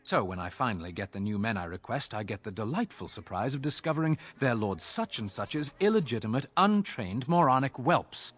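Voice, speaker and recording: An actor playing an exasperated, sarcastic city guard officer in a fantasy video game, grumbling gruffly in a husky voice. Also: male voice, medium pitch, sing-song, a little fast, hardly any pauses, fairly bright tone.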